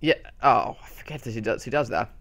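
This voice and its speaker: A man's voice: medium pitch, a little fast, gravelly, a bit bright. A man hosting his channel, giving a casual, rambling update full of fillers and restarts on a desktop mic. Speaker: channel host.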